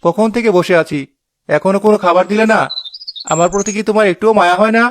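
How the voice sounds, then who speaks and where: A man's voice: high voice, fast, background noise, natural voice. A man voicing a good-hearted, confident village husband in a cartoon, reasoning and unflustered. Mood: pleading, earnest. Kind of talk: cartoon voice acting.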